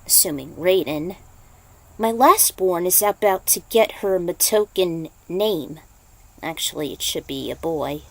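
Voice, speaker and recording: Woman playing a composed, slow-spoken shaman warrior. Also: fairly low pitch, a bit bright. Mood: matter-of-fact.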